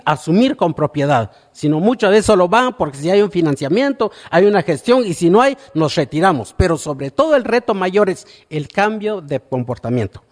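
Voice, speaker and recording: A man wrapping up a conference presentation, pausing on fillers as he searches for words. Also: high voice, in a large hall. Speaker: conference speaker. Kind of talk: closing remarks of a presentation.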